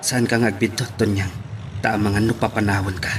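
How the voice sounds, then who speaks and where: A man's voice: lowish voice, flat delivery, moderate pace, a little hiss or hum, natural voice. A man playing a stern young man giving strict orders in an Ilocano radio drama. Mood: warning. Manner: commanding, strict.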